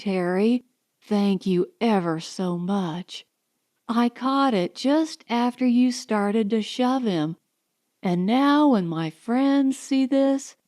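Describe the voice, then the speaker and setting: Woman playing a high-pitched, gushing, haughty young socialite. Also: darkish tone, dry recording, studio quality. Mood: gushing, excited. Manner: gushing, affected, condescending. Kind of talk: audiobook character dialogue.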